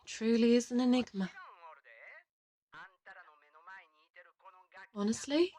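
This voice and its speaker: Woman doing a teasing older lady assassin for an audio roleplay, chatty, kinda bossy, mid pitch. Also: lightly acted, rough recording. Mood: amused, musing.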